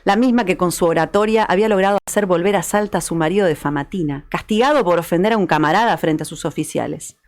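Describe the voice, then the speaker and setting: A woman's voice: fairly low pitch, fast talker, few pauses. A woman, a guest author narrating history as a story and chatting on a talk show. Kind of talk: dramatized storytelling narration and chat.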